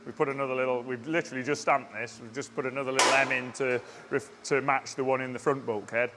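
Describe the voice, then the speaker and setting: A man's voice: mid-range voice, steady tone, heavy background noise. A man giving a casual walkaround update on a car build in a workshop, talking technically and restarting his sentences often. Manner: halting, restarts often, plain technical talk.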